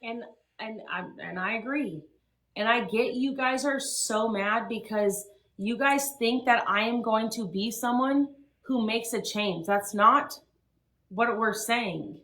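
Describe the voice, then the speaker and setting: A woman's voice: fairly high pitch, a bit bright, roomy sound. A young woman hosting a livestream, ranting and swearing with heavy emphasis. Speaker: livestream host. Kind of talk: heated livestream talk.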